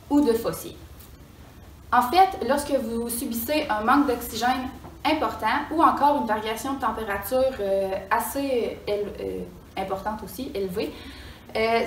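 A woman's voice: on the high side, a little hiss or hum, lightly acted. A young woman playing a doctor in a school science skit, explaining with hesitant fillers and self-corrections. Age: young adult. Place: mock exam room. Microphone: far camera. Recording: echoey room from far off.

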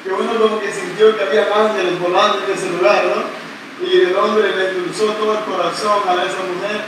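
High-voiced man preaching in an echoey pavilion. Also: flat delivery, a little fast. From not Puerto Rican. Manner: playful, joking with the congregation, leads call-and-response. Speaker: priest preaching. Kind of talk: sermon at a retreat Mass. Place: large open-air covered pavilion, outdoors. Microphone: camera far back in the congregation. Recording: echoey, distant, noisy outdoor pavilion.

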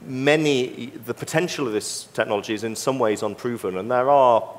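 A middle-aged man speaking as a consultant in an unscripted conference panel discussion, measured and careful to qualify his points. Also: mid-range voice, a little hiss or hum. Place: on stage in a large conference hall. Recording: reverberant conference hall.

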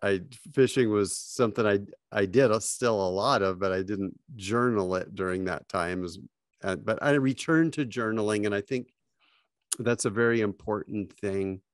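A middle-aged man telling fishing stories in a slow, reflective way with frequent pauses, speaking from a home office over a video call with earbuds. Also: lowish voice, no echo, studio quality. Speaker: podcast guest, fly fishing writer. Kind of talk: casual storytelling. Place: home office with bookshelves, indoors. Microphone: wired earbuds, video call.

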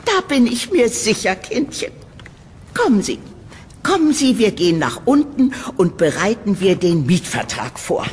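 A woman playing an eccentric old landlady in a horror audio drama, gushing and doting in a halting, theatrical, eerily sweet way. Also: mid-range voice, sing-song, darkish tone. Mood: sweetly eerie. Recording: reverberant room, background noise.

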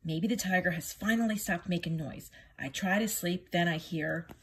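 A woman reading a children's picture book aloud in an expressive teacher's manner, drawing out the sound words. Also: fairly low pitch, moderate pace, slight background noise. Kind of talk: animated children's storybook reading.

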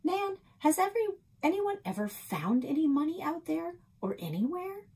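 A woman's voice: very high voice, big pitch swings, moderate pace, dark tone, voice pushed up, heavily acted. An American woman voicing a nosy, outspoken young girl in a storybook, eager and blunt. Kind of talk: children's storybook read-aloud.

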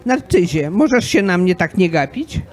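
A man plays a fussy, questioning god in an amateur radio drama. His voice is high for a man, with lively swings in intonation. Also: moderate pace. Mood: puzzled. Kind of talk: amateur radio play acting.

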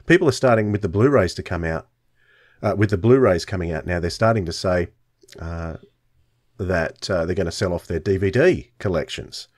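Low, dry-sounding podcast host. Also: male voice, moderate pace, darkish tone, no room sound. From Australia. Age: middle-aged. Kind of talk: casual chat. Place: indoors on a video call, close dry sound.

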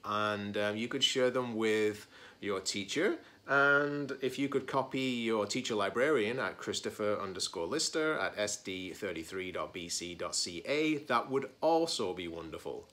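A man's voice: on the low side, expressive, normal speed, a bit bright. A man in his forties speaking as a teacher-librarian to young students in a read-aloud video, recorded indoors in a small room.

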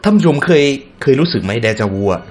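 A man with an animated, mid-pitched voice reads on-screen text aloud as a reaction host. He keeps slipping in short musing asides and thoughtful hums. Music plays softly behind him. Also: fast talker. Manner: interjects short musing remarks and hums while reading.

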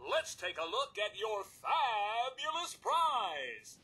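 A man's voice: extremely high pitch, sing-song, slow, bright, forceful tone, husky, heavily acted. A man playing a showy robot game show host in a video game, with exuberant, theatrical announcer patter. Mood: showy, excited.

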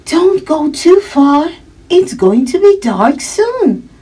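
Woman voicing a high, concerned storybook mother. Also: sing-song, measured pace, mellow, heavily acted. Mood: warning. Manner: calling out, concerned. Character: caring, watchful mother of a young girl.

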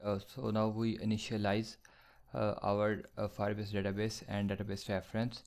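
A man teaching programming in a screencast, slowly dictating code step by step with fillers. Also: on the low side, monotone, creaky, a little mellow. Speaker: programming tutor. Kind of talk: screencast coding tutorial.

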